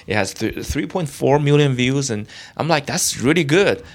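A young man from China, a guest in an interview, talking in a halting way with many restarts and fillers. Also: mid-range voice, lively intonation. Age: young adult. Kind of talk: casual interview talk.

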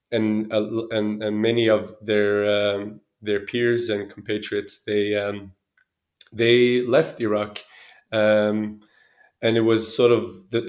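A man in his thirties from Sweden speaking reflectively as an interview guest, often pausing and restarting as he searches for words. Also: on the low side, darkish tone. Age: 30s.

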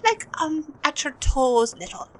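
A woman voicing a gentle, animal-loving pirate who speaks in a soothing, coaxing way. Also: high-pitched, sing-song, unhurried, exaggerated voice.

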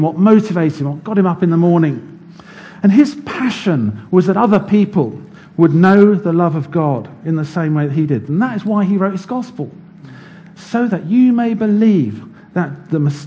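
Man, preacher, sermon, measured teaching, slightly high voice, warm tone. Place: church.

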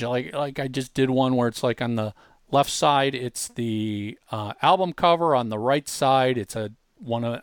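A man hosting a casual software walkthrough, explaining informally and speaking straight to the listener. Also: medium pitch, no echo, clean studio sound. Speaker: host demonstrating software.

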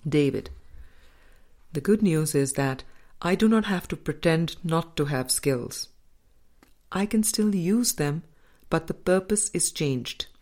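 Woman narrating a spiritual audiobook, deep-ish voice, really slow and measured, lots of pauses. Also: a little mellow. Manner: measured, deliberate, with frequent pauses. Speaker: audiobook reader. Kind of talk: scripted narration of a spiritual book.

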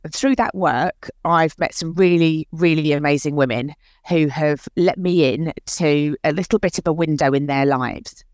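A woman's voice: low-pitched, moderate pace, a little mellow, no room sound, lo-fi sound. Chatty enthusiastic woman hosting a podcast. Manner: chatty, enthusiastic, many fillers. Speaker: podcast host. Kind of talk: podcast interview conversation.

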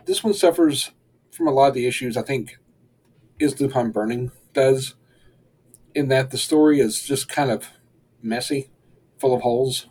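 A young man co-hosting a podcast, reviewing an episode in relaxed, rambling casual chat and chuckling often. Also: medium pitch, moderate pace, pauses often, slightly rough. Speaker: podcast co-host.

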